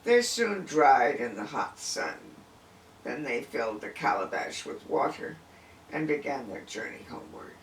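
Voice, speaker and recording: A man's voice: mid-range voice, very animated, unhurried, gravelly. A man reading a folk tale aloud expressively, with dramatic, emphatic phrasing. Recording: distant, echoey room with faint noise.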